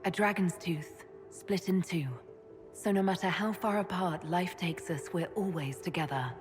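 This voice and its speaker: An actress voicing a composed, diplomatic elf mage leader in a video game, with measured, polite, cool delivery. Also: female voice, on the low side, over music, close to an everyday voice. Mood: assured, warm.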